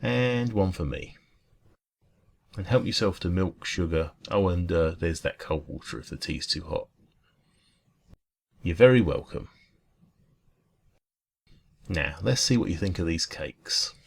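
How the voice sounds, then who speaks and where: A man playing a friendly, caring dragon who runs a cosy café, talking gently and reassuringly in an ASMR roleplay. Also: low voice, expressive, unhurried, gravelly, pauses often, a little hiss or hum, exaggerated voice. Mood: cheerful, chatty.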